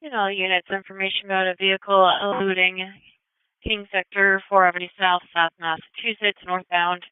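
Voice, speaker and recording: A female emergency dispatcher comes through on a narrow, phone-like police radio channel. Her voice is smooth and clear, with a dry sound. She gives terse, procedural announcements methodically. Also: mid-range voice, level tone, brisk, no echo. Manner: clipped, procedural, reads addresses and details methodically. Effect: police radio transmission. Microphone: radio dispatch microphone.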